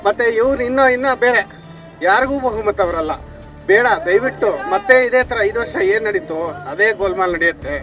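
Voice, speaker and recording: A man making a pleading, halting appeal in a street interview. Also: high voice, lively intonation, music in the background. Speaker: member of public interviewed.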